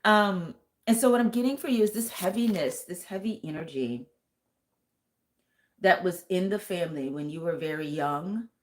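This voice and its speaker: A woman with a thick, lower voice giving a live tarot reading and talking straight to her viewers with earnest, intuitive warmth. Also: normal speed, slight background noise. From United States. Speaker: tarot reader and vocal coach.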